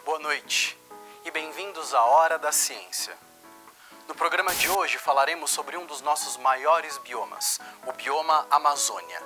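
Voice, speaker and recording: A man's voice: fairly high pitch, animated, unhurried, sharp tone. A man playing an earnest science program narrator, formal and explanatory, in a mock documentary. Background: music.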